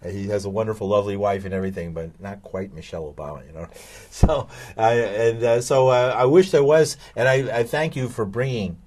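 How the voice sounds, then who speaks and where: A man's voice: on the low side, a little fast, hardly any pauses, a little mellow. A middle-aged American man interviewing a guest as host, in a casual, rambling way. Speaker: talk show host, interviewer. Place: TV studio, seated indoors.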